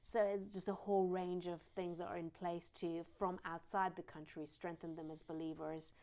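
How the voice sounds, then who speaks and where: A woman's voice: on the low side, little pitch movement, moderate pace, dark tone. A woman with a British accent that has a slight American twang, originally from Iran, answering interview questions fluently as a charity head. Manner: fluent and explanatory, lists things in series. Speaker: charity CEO, guest.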